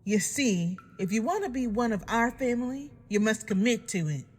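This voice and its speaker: A woman's voice: fairly high pitch, sing-song, normal speed, darkish tone, breathy edge. A woman voicing a sadistic farm pony villain in a fan audio drama, taunting with folksy mock politeness. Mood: mock-sweet menace.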